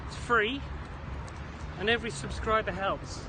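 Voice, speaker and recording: A man's voice: high-pitched, unhurried. A British man from the Isle of Man hosts a rambling walking vlog, chatty and jokey with quaint slang, a little out of breath as he goes. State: slightly out of breath from brisk walking. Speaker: vlog host. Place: outdoors along a city creek. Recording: noisy outdoor recording, city traffic ambience.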